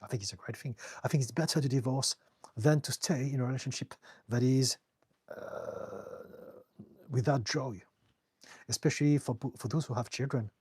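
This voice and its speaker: A middle-aged man from France with a French accent, calmly reading viewer questions and answering them as a therapist on a live stream. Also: medium pitch, normal speed, slightly rough, dry recording, studio quality. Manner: calm, reassuring, reads out viewer comments with light laughs. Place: home in the UK.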